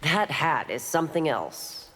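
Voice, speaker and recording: An actress playing a sceptical city constable and warrior in a video game, curt and authoritative. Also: female voice, deep voice, sing-song, measured pace, fairly bright tone, slight background noise, full character voice. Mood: cool. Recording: long hall reverb.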